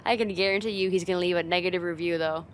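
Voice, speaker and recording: A woman's voice: lowish voice, fairly even intonation, racing, slight background noise, natural voice. A woman playing a friend's teasing older sister in a casual, conversational ASMR roleplay spoken straight to the listener. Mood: playful, casual.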